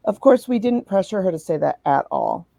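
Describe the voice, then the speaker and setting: A woman running a business, chatting quickly and warmly with her team on a live video call. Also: mid-range voice, animated, vocal fry, dry recording, clean studio sound. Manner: chatty, quick, self-deprecating. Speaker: business owner. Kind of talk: casual live stream chat.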